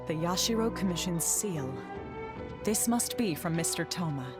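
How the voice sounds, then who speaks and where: A woman's voice: on the low side, expressive, moderate pace, lightly acted. A woman playing a composed, formal tea house keeper in a video game, discerning and welcoming. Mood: appraising, welcoming. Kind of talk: video game character acting. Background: game music.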